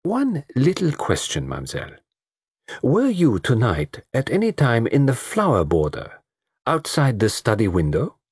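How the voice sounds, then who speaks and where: A man's voice: medium pitch, huge pitch swings, moderate pace, darkish tone, breathy edge, no echo, clean studio sound, voice pushed up. A man voicing a shrewd, precise middle-aged male detective with a put-on French accent. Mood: probing. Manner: precise, formal, probing questions. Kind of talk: audiobook character voice.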